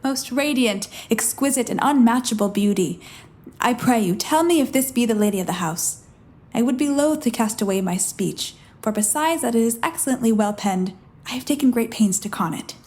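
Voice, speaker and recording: An ardent young messenger of Shakespearean audio drama, a woman acting a girl in page's disguise. The voice is on the high side, earnest and courtly, declaiming with witty grace. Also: expressive, normal speed, darkish tone, close to an everyday voice. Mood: courtly, playful.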